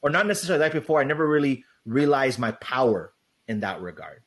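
A man's voice: medium pitch, expressive, quick pace. Talkative man, loose rambling speech. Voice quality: loud voice. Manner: loose, rambling, heavy on "like" and "right?". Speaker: guest. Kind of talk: casual interview chat.

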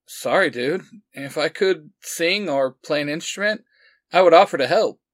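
A man voicing a friendly, awkward teenage boy who gets excitable, in a fan storybook reading. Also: on the high side, moderate pace, lightly acted. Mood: eager.

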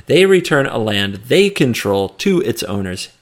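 A man's voice: mid-range voice, sing-song, a little slow. A man co-hosting a casual discussion show about a card game, talking in a wry, joking way. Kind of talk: casual chat and game commentary.

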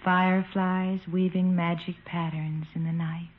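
Actress as slow, dreamy romantic lady. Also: on the low side, level tone, lightly acted. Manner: leisurely, genteel, wistful. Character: gracious, dreamy, romantic grown lady friend. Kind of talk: 1950s radio situation comedy acting. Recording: noisy muffled old radio, audience behind.

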